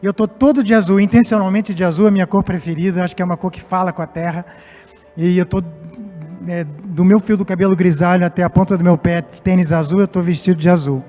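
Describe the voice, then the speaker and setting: A man's voice: high voice, steady tone, fast, dark tone. A man in his late fifties from Portugal, an executive giving a semi-formal, somewhat hesitant speech on stage in a reverberant hall with music behind. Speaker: company executive, guest speaker. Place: stage at a live event.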